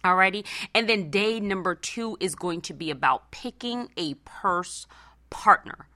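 Give a woman radio host with a bright, forceful voice at a middle pitch. She talks in a conversational, animated way, coaching listeners with a motivational style. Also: measured pace. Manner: animated, conversational, acts out imagined remarks. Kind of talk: motivational personal finance radio talk.

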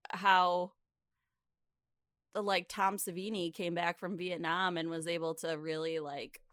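Woman podcast co-host, slow pace, slightly low bright voice, emphatic casual musing, dry sound. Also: flat delivery, no echo. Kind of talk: casual, chatty podcast discussion.

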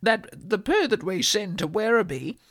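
Maureen, door een performer gespeelde gravelige Australische oudere vrouw. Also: mid-range voice, normal speed, no room sound, clean studio sound. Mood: chatty deadpan. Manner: agreeable, gossipy, deadpan asides. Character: doting older woman, Maureen. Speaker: podcast co-host in character. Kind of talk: improvised comedy character chat.

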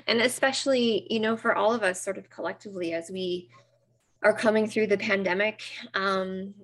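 A middle-aged woman from Nova Scotia with a Canadian accent, speaking earnestly as a therapist guest in an interview, with many fillers and restarts. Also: mid-range voice. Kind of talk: interview conversation.

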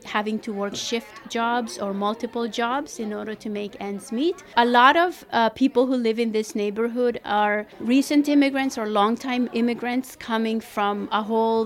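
A woman from El Salvador who moved to Canada as a child, talking conversationally in an interview as a community coordinator. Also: fairly high pitch. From El Salvador, moved to Canada at eleven.

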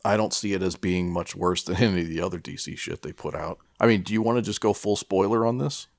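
Very deep, gravelly man chatting. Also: quick pace, no echo, studio quality. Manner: hesitant, searching for words, casually profane. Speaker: podcast co-host reviewing a film. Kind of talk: casual film chat.

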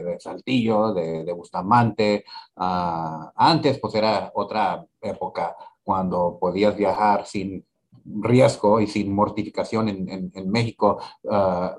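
A man in his forties from Laredo, answering interview questions as a council candidate in a halting way, with many fillers and repeated words. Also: lowish voice. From Laredo, Texas. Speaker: council candidate, immigration attorney. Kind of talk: interview in Spanish.

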